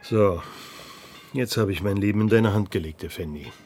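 A man's voice: lowish voice, normal speed, darkish tone, a little hiss or hum, lightly acted. An actor playing a frightened, cautious village headman in a radio play. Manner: anxious, cautious, hesitant.

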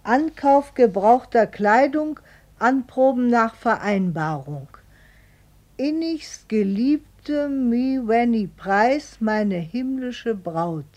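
An actress playing a postman's wife who reads a letter aloud slowly and deliberately in a radio play. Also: on the high side, lively intonation, darkish tone. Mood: reading. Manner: slow, deliberate reading aloud.

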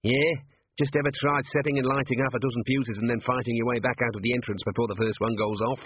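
A man's voice: medium pitch, fairly even intonation, quick pace, dry recording, natural voice. A man voicing a tiny male gnome rat-catcher with old-fashioned sporting talk, on a dry, tinny recording. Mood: matter-of-fact. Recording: dry husky phone-like audio.

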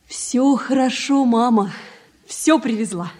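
A woman acting in a Soviet radio drama as a lively, sharp-tongued young village girl. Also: a little high, slow pace. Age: young adult.

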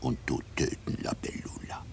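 An actor playing an ugly, crude, furtive monk who babbles broken mixed languages in a gravelly voice and drops into whispers, in a radio drama. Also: male voice, normal speed, a little mellow, natural voice. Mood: secretive, ominous. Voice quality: deep, gravelly, creaky.

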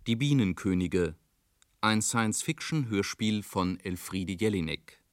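A male announcer reads the credits of a seventies radio play. He is slow and low-pitched, recorded very dry. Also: no room sound. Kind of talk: scripted closing credits of a 1970s radio play.

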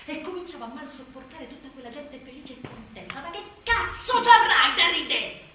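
A frazzled, bitterly funny new mother confessing in a theatrical monologue. Her fairly high voice swings dramatically from muttering to shouting, with big leaps in pitch. It is heard in a very reverberant space. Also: sing-song, brisk, heavily acted. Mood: exasperated. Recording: roomy distant theatre sound, faint noise.

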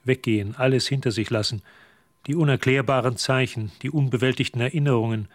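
An actor playing the composed narrator of a radio drama, giving measured literary narration of a dark mystery. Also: male voice, medium pitch, fairly even intonation, no room sound, studio quality, lightly acted. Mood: composed, suspenseful.

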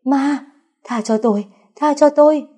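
A woman's voice: high voice, unhurried, dark tone, pitched above the performer's own voice. A woman narrator voicing a terrified, guilty servant woman in an audio story, pleading and repeating herself frantically.

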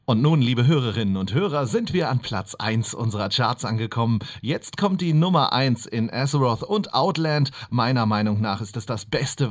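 An actor playing an earnest, formal radio newsreader and chart presenter in a parody audio play. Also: male voice, mid-range voice, close to an everyday voice. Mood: presenting. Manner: formal, announcer-like.

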